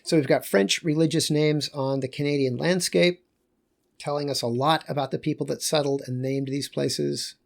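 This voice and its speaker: A man lecturing on geography in a loose, conversational way, pausing and correcting himself as he goes. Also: a little high, steady tone, creaky.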